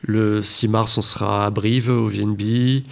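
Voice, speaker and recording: A man's voice: on the low side, little pitch movement, unhurried, creaky, a bit bright. A man from a band answering interview questions in a matter-of-fact way, listing upcoming gigs. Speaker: band member. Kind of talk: radio interview.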